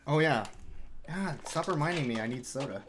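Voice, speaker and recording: A man's voice: a little high, expressive, normal speed, noisy background. Wry young man commentating a speedrun. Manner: wry, self-deprecating, explanatory. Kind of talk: casual gameplay commentary. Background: game music.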